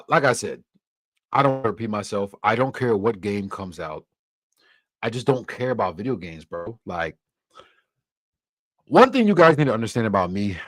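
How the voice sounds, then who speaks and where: A young man from Cameroon talks casually on his livestream, his voice sitting fairly low. His delivery is loose and easygoing, with plenty of restarts. The sound is dry and close, with no room around it. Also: fairly bright tone, dry recording. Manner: laid-back, loose, filler-heavy with frequent restarts. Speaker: livestream host. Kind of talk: casual livestream chat answering comments.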